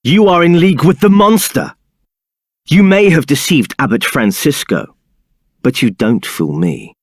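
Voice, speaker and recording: An actor playing an arrogant, contemptuous templar captain in a video game, with a haughty, clipped, sneering delivery. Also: male voice, a little high, animated, unhurried, no echo, studio quality. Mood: interrogating, menacing. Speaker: game character voice.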